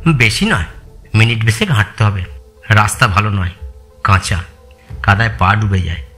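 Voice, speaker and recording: An actor playing an older man, a loyal employee, brisk and plain-spoken, in a Bengali audio story. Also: on the low side, fairly bright tone, soft background music, close to an everyday voice. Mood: matter-of-fact. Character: Jashoda, older man, rural businessman's loyal longtime employee.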